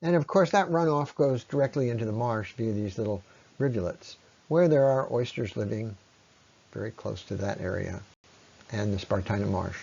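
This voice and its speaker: A man narrating an informal slide presentation in an explanatory way, with halting pauses. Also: lowish voice, vocal fry, a little mellow, breathy edge, highs cut off. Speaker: presenter on local ecology.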